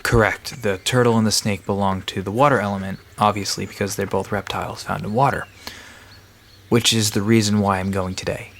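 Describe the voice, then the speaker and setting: A man's voice: fairly low pitch, fairly even intonation, fairly quick, a bit bright, a little hiss or hum. A man playing an aloof park caretaker in an audio drama, explaining things in a dry, matter-of-fact, guarded way. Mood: patient, matter-of-fact.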